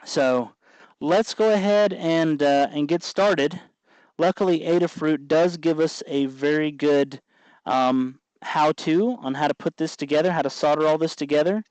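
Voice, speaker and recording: A man hosting a casual hands-on tutorial, explaining in a halting way as he works. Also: a little high, darkish tone, no echo, rough recording. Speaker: host of a DIY electronics tutorial.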